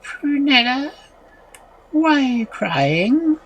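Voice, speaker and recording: A woman voicing a gentle coaxing young man. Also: sits high, sing-song, very slow, slightly rough, voice pushed up, full character voice. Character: kind handsome young man, witch's son.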